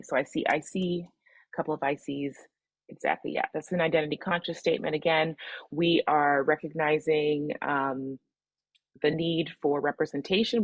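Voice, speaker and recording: A woman from the American Midwest presenting an online workshop, calmly guiding participants through reflection exercises over a video call. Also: deep voice, creaky, no echo, highs cut off. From Midwestern US, Michigan. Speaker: webinar presenter.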